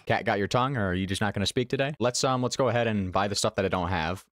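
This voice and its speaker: A man with a fairly low voice hosts a gaming video. He talks in a chatty, informal way, guiding viewers through the game as casual commentary. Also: rapid-fire, fairly bright tone, dry recording. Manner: chatty, informal, addresses viewers as "you guys". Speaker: gaming YouTuber.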